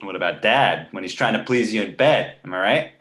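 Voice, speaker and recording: A man playing a precocious talking baby boy, sardonic, indignant and pedantic, in an animated comedy table read. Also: fairly low pitch, a little fast. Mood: cocky, teasing. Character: precocious, snarky two-month-old talking baby boy.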